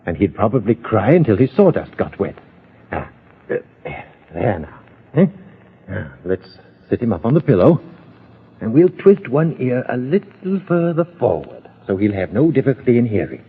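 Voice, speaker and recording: An actor playing a gentle, indulgent father telling a bedtime story in a 1930s radio drama. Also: mid-range voice, big pitch swings, slightly rough, full character voice. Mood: gentle, playful. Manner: playful, coaxing, drawn-out storytelling. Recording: tinny old radio recording.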